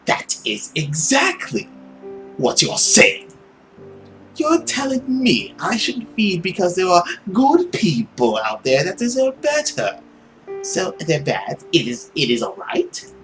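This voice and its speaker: A woman voicing a suave male villain who preys on people, with a theatrical, condescending manner and drawn-out words. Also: lowish voice, wildly swooping pitch, faint music behind, pitched above the performer's own voice, full character voice, poor audio. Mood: mocking.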